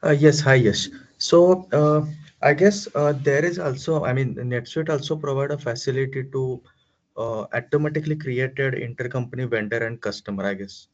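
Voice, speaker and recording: Male webinar participant, medium pitch, hesitant delivery, lo-fi video-call audio. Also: moderate pace. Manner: hesitant, tentative. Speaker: webinar attendee. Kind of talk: tentative spoken question. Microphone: video call microphone.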